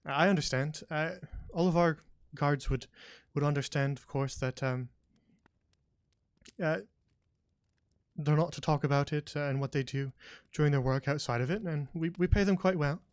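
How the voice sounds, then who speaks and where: A man's voice: on the high side, normal speed, no room sound, studio quality, pitched above the performer's own voice, lightly acted. Man doing a stammering nervous young noble. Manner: stammering, hesitant.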